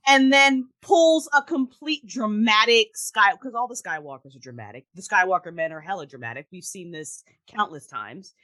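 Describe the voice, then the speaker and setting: A woman's voice: medium pitch, animated, normal speed, few pauses, sharp tone. A woman in a casual, opinionated film chat, talking in an emphatic, blunt way and swearing freely. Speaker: guest, audio drama creator.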